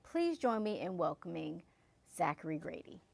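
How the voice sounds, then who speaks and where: A woman's voice: on the low side, very animated, moderate pace, vocal fry, no room sound. A woman hosting an event, reading scripted announcements and introductions in a polished, presentational way. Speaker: event host introducing a keynote speaker.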